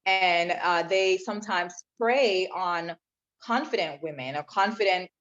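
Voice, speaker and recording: A woman's voice: fairly low pitch, measured pace, a bit bright. A woman from Jamaica, a podcast guest telling her own story in an earnest, reflective way. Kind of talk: personal testimony in interview. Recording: dull lo-fi sound.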